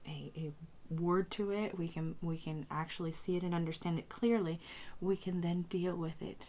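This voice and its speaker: A woman recovering from a cold with a strained voice, speaking haltingly as a tarot reader talking casually to her viewers. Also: fairly low pitch, steady tone, mellow. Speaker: tarot reader and channel host. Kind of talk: casual spiritual talk to camera.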